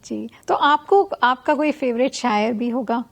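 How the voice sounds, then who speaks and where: A woman's voice: a little high, unhurried, gravelly. A woman hosting an interview, attentive and encouraging, often adding short agreements. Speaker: interview host. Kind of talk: conversational interview.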